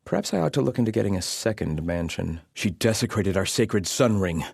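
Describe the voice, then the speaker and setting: Haughty low-voiced soldier. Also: male voice, animated, brisk, creaky, a little mellow, slightly rough, no echo, studio quality, full character voice. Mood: haughty, solemn. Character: haughty, devout male soldier of a sun-worshipping empire. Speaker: game voice actor. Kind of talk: scripted video game barks and lines.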